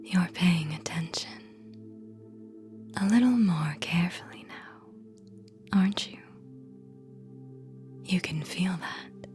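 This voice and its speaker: A woman guiding a whispered ASMR sleep meditation in a soothing, hypnotic manner, speaking in short phrases with long pauses. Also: fairly low pitch, darkish tone.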